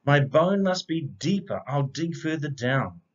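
A man reads as a shrewd, hungry storybook dog. He speaks courteously and plainly, with lively swings of pitch and an unhurried pace, on a slightly dull recording. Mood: apologetic, matter-of-fact. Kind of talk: children's storybook read-aloud.

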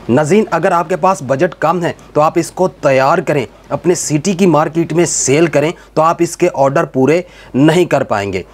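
A male presenter with a Pakistani accent reads a scripted explainer voice-over. His voice sits a little higher than most men's. He speaks directly to the viewer in an instructive way, often repeating his phrasing to make each point. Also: husky. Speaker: video presenter.

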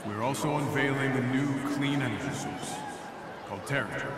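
A man's voice: medium pitch, normal speed, over a noisy crowd, heavy background noise, lightly acted. A man playing an earnest, modest superhero leader giving a formal speech in a video game. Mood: proud, earnest. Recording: echoing PA, loud music.

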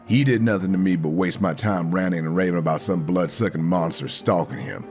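A man's voice: deep voice, fairly quick, darkish tone, soft background music. An actor playing a stern, exasperated man laying down the law in an audio drama.

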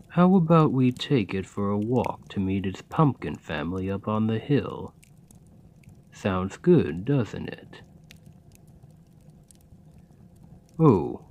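A man playing a kind, patient gravedigger in a soft, soothing, encouraging ASMR roleplay. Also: lowish voice, heavily acted. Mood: fond, playful. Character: kind, gentle gravedigger, patient and playfully fond. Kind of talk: ASMR roleplay, scripted comforting monologue.

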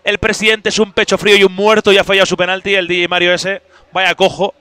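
A man talks casually to his stream viewers through a headset mic, teasing and chatty. His voice sits high and bright, smooth and clean. The sound is close and dry, with no room around it. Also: little pitch movement, fast talker, no room sound.

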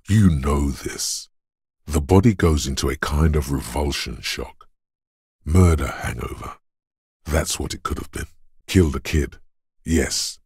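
An actor voicing the brooding inner voice of a detective's body in a video game, gravelly and husky, speaking gravely and deliberately with theatrical weight. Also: male voice, a bass voice, a little mellow, no echo, clean studio sound, full character voice. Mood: stern, weighty. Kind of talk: scripted game narration in second person.

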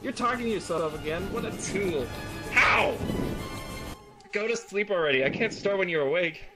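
A man's voice: sits high, lively intonation, moderate pace, loud music behind the voice. A man voicing a swarm of pesky mosquito girls who needle and mock impatiently. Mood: taunting.

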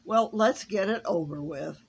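Woman doing old Santa Claus, hearty, exclaiming, storybook, soft ambient hum. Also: mid-range voice, measured pace, creaky, muffled recording. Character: jolly old Santa Claus, weary from heat. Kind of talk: storybook reading.

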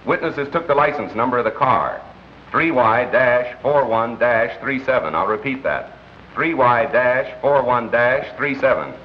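An actor playing a wry, measured male radio crime newscaster in an old-time drama, with dry wit. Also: mid-range voice, close to an everyday voice. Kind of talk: old-time crime drama acting with broadcast announcer delivery. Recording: dull, noisy old film-era soundtrack.

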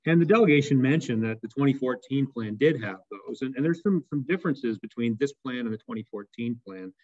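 A man's voice: mid-range voice, lively intonation, a little fast, a little mellow. A man on staff answering council questions on a video call, explaining step by step with frequent restarts and fillers. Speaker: municipal staff member. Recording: dull lo-fi video call audio.

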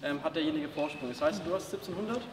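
A young man with a fairly high voice explains drills to players in a big sports hall. He is recorded from some way off, so the voice rings with reverb over loud background noise. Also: fast. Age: young adult. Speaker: table tennis trainer and channel host. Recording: roomy hall audio from a distance.

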